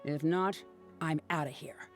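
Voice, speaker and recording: A woman's voice: low-pitched, unhurried. An actress playing a resentful, impatient young woman in a video game, curt and dismissive, with music behind. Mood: irritated.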